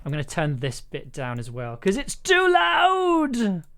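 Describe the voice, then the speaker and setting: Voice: medium pitch, wildly swooping pitch, measured pace, a bit bright, clean tone. An enthusiastic audio producer chatting casually and effusively on a livestream while editing. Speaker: audio drama producer and streamer.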